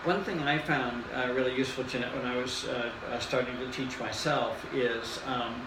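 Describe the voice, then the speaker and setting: A man's voice: mid-range voice, steady tone, moderate pace, reverberant, background noise. A man interviewing a teacher, speaking hesitantly with many fillers and often murmuring agreement. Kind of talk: reflective interview.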